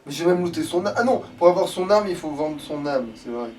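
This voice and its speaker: Man, fairly high voice, casual let's play commentary, sweary, grumbling, faint background noise. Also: expressive, fairly quick, a little mellow. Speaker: gamer playing in a let's play video. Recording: distant mic with background noise.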